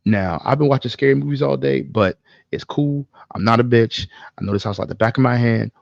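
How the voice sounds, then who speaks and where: Male co-host, gravelly voice, foul-mouthed casual storyteller, dry but slightly muffled sound. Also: medium pitch, fairly quick, no echo. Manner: animated, profane, slangy. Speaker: podcast co-host.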